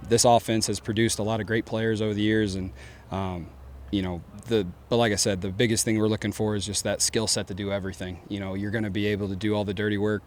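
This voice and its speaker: A man's voice: fairly low pitch, a little mellow, a little hiss or hum. A man with an East Texas accent, a football coach giving casual, upbeat press conference answers full of fillers.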